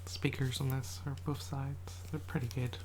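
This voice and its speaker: An ASMR creator in a quiet house, whispering a soft, rambling show and tell and often correcting themselves, voice a little rough from a cold. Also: mid-range voice, flat delivery, moderate pace, a little hiss or hum. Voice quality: whispered, soft-spoken delivery. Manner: rambling, self-correcting, chatty.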